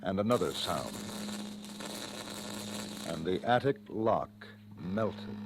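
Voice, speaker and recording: A grave, foreboding storyteller narrates a vintage radio thriller. The voice sits fairly low, with dramatic suspenseful phrasing, on a noisy old recording. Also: male voice, exaggerated voice. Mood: ominous.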